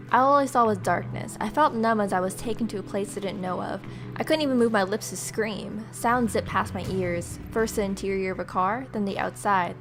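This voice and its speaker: A woman hosting a let's play, reading game narration aloud dramatically with casual asides, music behind her. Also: mid-range voice. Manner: dramatic, expressive read-aloud.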